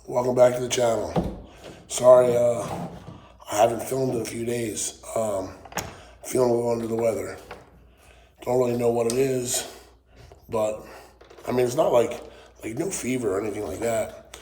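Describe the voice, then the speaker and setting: A man's voice: mid-range voice, moderate pace, pauses often, warm tone. Weak-voiced New man in echoey workshop. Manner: rambling, frequent ums and swearing. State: weak voice from recovering laryngitis. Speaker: channel host. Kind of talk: casual political rant to camera. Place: wood shop. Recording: echoey workshop with faint noise.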